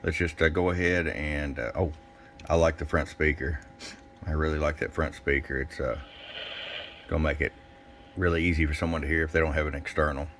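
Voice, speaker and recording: A man's voice: extremely low pitch, steady tone, a little fast, fairly bright tone, a little hiss or hum. A plain-spoken, folksy man giving a casual, off-the-cuff hands-on product demo at a workbench as a shop technician. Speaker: radio shop technician and presenter. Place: indoors at a radio workbench.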